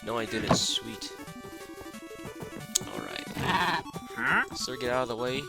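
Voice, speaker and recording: A young man with a raspy, stuffed-up voice from a cold, chatting casually as he plays a game. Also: medium pitch, slow pace. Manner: rambling and relaxed, lots of fillers. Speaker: gaming channel host. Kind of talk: casual gameplay commentary. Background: background music.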